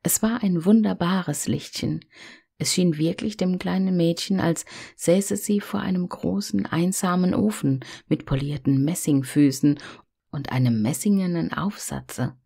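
A woman storyteller reading a fairy tale in an expressive, gentle and hushed manner. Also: on the low side, unhurried, creaky, breathy edge.

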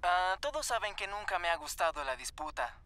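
An actor voicing a friendly, laid-back young celebrity heartthrob in a cartoon dub, polite. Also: male voice, sits high, very animated, brisk, heavily acted. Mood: sincere. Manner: easygoing, polite. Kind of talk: animated cartoon dub acting. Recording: loud guitar music behind.

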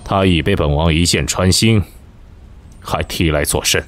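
Imperious young king for costume radio drama, played by a man: very low voice, haughty, clipped commands, light music beneath. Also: normal speed, close to an everyday voice. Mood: haughty, probing. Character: imperious young male king of an ancient kingdom.

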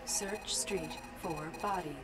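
A woman's voice: lowish voice, takes it slowly. A woman playing a detached police command system, giving clipped, impersonal prompts in an audio game. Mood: detached, procedural. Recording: loud music behind the voice.

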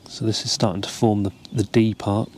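A man, calmly explaining step by step how to tie a fishing rig, recorded outdoors. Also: fairly low pitch, brisk, a little hiss or hum. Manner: relaxed, explains each step while tying. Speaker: carp fishing angler demonstrating a rig. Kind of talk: casual step-by-step tutorial. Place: outdoors by the water, seated over a tackle box.

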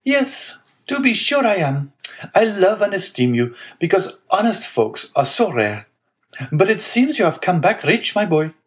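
A man's voice: high voice, sing-song, measured pace, voice pushed up. A man voicing a sly, greedy tailor in his twenties in a novel, over-friendly and effusive. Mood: gushing.

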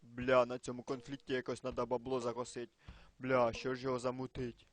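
A man's voice: medium pitch, monotone, fairly quick, faint music behind, lightly acted. A man voicing a greedy, foul-mouthed tycoon in an adult cartoon, scheming with cynical crudeness. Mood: scheming, callous.

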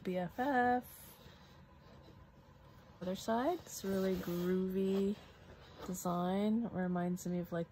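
A woman's voice: mid-range voice, mellow, slight background noise, low-quality recording. A woman giving casual unboxing commentary at a craft table, chatty and musing aloud to herself. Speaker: crafter showing a product haul.

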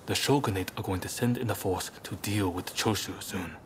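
Man playing a low-voiced, sober samurai leader. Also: fairly quick, slight background noise, lightly acted. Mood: grave. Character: sober, pragmatic samurai clan leader working in secret. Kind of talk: video game cutscene acting.

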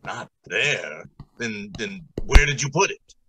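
An actor playing a grave, formal, anguished factory director in old-time radio drama style. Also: male voice, medium pitch, lively intonation, takes it slowly, background noise. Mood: stunned. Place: indoors, on a video call.

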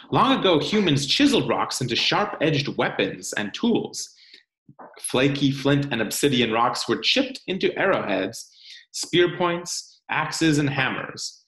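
A man reads a picture book aloud in an expressive, deliberate, teacherly way. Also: mid-range voice. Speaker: children's read-aloud presenter. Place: indoor room at home. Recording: roomy home webcam sound.

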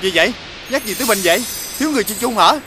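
Một phụ nữ vào vai đám mây đen nhỏ, giọng lên xuống sinh động. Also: female voice, mid-range voice, expressive, racing, background noise, natural voice. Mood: puzzled. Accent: southern Vietnamese. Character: lonely, childlike little dark storm cloud, sad but playful. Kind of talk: children's cartoon dubbing. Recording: music bed behind the voice.

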